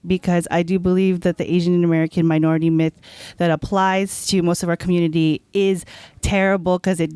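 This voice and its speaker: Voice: medium pitch, darkish tone, clean tone, no room sound. A young adult podcast guest giving earnest, opinionated views in a casual panel chat, thinking aloud with fillers.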